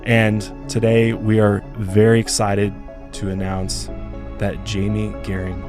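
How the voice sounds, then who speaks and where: A hoarse male podcast host, chatty and rambling, talking in a studio. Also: lowish voice, completely flat delivery, a little slow, music in the background. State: hoarse from illness. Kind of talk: podcast interview.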